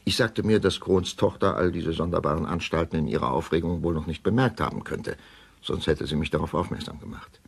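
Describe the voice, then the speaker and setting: An actor playing a troubled middle-aged male doctor, narrating a crime radio play in a grave, measured, deliberate way. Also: rumbling low, gravelly, breathy edge, exaggerated voice. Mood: measured, sombre. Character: troubled male doctor recounting a suspicious death. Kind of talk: first-person radio drama narration.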